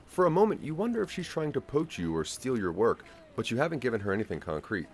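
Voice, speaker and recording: A man narrating a scripted audio drama in the second person, with a measured storytelling delivery. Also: mid-range voice, big pitch swings.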